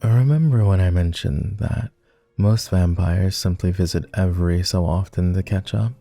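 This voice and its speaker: A man playing a doting, refined vampire gentleman in a romantic roleplay ASMR, with formal, courtly and gently teasing speech. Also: sits low, moderate pace, creaky, dry recording, faint music behind. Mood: fond, measured.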